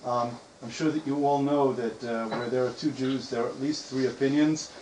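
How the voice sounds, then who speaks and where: A man's voice: medium pitch, fairly even intonation, moderate pace, a little mellow, slight background noise, poor audio. A man speaking for a community, answering a visiting group's questions in a conversational way, with many fillers and self-corrections.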